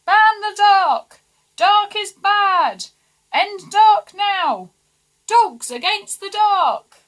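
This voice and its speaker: A woman voicing an indignant protester chanting slogans loudly and slowly in a children's storybook. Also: very high-pitched, lively intonation, pitched above the performer's own voice, exaggerated voice. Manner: loud, slow chanting.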